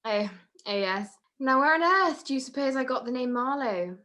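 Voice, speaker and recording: A woman's voice: sits high, animated, moderate pace, sharp tone. A woman playing an evasive, falsely innocent married lady in a detective radio play, polite and coy.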